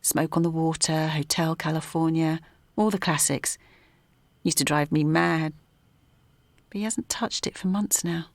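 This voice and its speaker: Hushed middle-aged woman. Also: low voice, fairly even intonation, measured pace, creaky, long pauses. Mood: wistful. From London. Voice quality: soft, hushed late-night talk. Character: middle-aged former-activist art teacher woman, wry. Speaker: character in audio drama. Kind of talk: radio drama acting.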